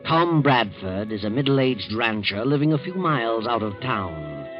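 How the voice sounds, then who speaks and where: Sinister night-walking narrator, deliberate and portentous, loud music behind, muffled 1940s radio recording. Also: male voice, mid-range voice, big pitch swings. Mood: ominous. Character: ominous night-walking man, sinister omniscient storyteller.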